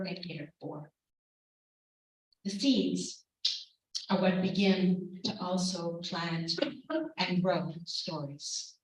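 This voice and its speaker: A woman professor giving a keynote and then answering questions, speaking in a measured, reflective way with soft laughs between points. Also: on the low side. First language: English. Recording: very echoey hall, distant mic.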